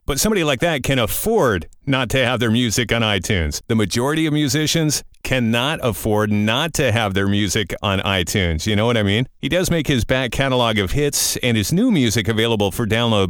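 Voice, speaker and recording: A man's voice: mid-range voice, a bit bright, dry recording, studio quality. A man hosting in a casual morning-radio style, conversational and fond of tossing in little tag questions. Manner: conversational, peppered with 'you know' and rhetorical tags. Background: music bed.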